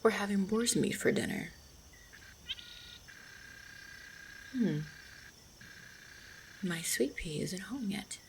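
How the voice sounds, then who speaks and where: A woman playing a gentle, devoted orc hunter in a roleplay audio drama, speaking tenderly and reassuringly with a husky, creaky voice. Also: medium pitch, lively intonation, unhurried, slight background noise. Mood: musing. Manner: tender, reassuring, softly spoken. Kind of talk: roleplay audio drama, intimate monologue to the listener.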